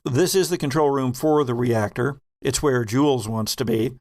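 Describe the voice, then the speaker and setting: A man acting as game master, narrating a tabletop horror roleplaying game in a halting, deliberate way with vivid detail. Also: medium pitch, a little mellow.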